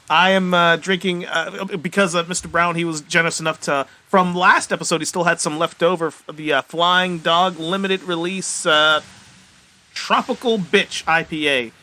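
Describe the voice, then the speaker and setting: Highish, bright-voiced American male podcast host. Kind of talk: casual podcast banter.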